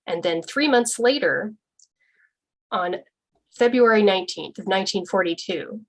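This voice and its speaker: A woman's voice: medium pitch, animated, unhurried, rough recording. A young woman giving an informal, earnest presentation at a church forum, with frequent ums and restarts.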